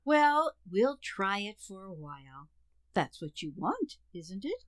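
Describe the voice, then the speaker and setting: A woman playing a patient, gently wry wife who soothes and placates in an amateur radio sitcom. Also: mid-range voice, very animated, dry recording, clean studio sound. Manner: soothing, measured.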